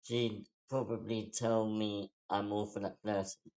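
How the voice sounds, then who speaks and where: A man's voice: lowish voice, flat delivery, measured pace, darkish tone. A man playing a self-deprecating, anxious young man in a casual screenplay table read. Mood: awkward, guarded.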